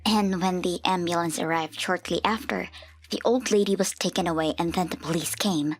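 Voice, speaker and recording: A woman narrates a scripted story in the first person for a manga dub. Her voice is fairly low and husky, with background music running underneath. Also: steady tone, normal speed.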